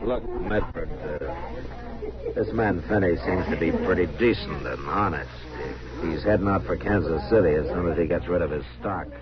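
An actor playing a stern but fair frontier lawman in a 1950s radio western, speaking plainly and in a measured way with hesitant pauses. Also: sits low, dull audio, close to an everyday voice. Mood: reasoning. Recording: noisy, band-limited 1950s radio recording.

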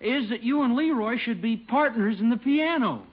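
Earnest young man, polite, high-pitched, husky, radio comedy style, dull vintage recording. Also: lively intonation, moderate pace, full character voice. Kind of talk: 1950s radio comedy acting.

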